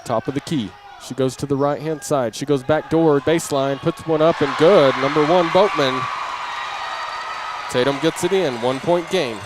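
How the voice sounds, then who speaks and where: A man's voice: fairly high pitch, moderate pace, crowd noise. A man doing live audio play-by-play of a basketball game in clipped running phrases that lift on big plays. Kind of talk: live radio-style sports commentary. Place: indoor basketball gym during a game. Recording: clearly noisy live gym audio. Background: gym ambience, bouncing basketball.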